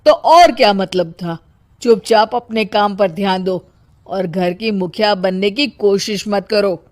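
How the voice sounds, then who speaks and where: A woman's voice: medium pitch, unhurried, dry recording, natural voice. Woman acting a stern, dry-sounding young husband. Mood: stern, reproachful. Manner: curt, reproachful. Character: stern, scolding young husband and elder brother.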